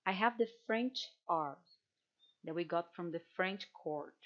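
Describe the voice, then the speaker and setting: A woman's voice: lowish voice, a little slow, muffled recording. A Brazilian woman with a Brazilian Portuguese accent, teaching Portuguese pronunciation in English and patiently repeating example words. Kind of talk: online language workshop.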